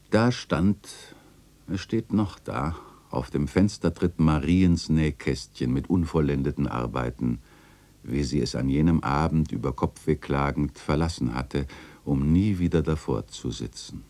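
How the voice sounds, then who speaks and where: Literary radio drama narrator: melancholy old man recalling memories, very low, mellow, lively intonation. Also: exaggerated voice. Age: older. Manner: wistful, expressive storytelling.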